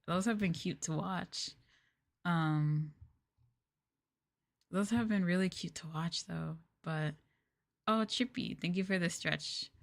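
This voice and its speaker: Woman streamer, casual chat, rambling, giggly, slightly low voice. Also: slow, creaky, pauses often. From United States. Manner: rambling, filler-heavy with lots of "like"; giggly and playful. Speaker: VTuber streamer.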